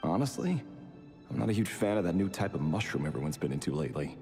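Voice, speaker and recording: Wry grumbling diarist, very deep voice, measured delivery, video game monologue, echoing reverb. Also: male voice, big pitch swings, warm tone. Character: dry, grumbling man reading out his private diary. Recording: long echoing reverb effect. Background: game music.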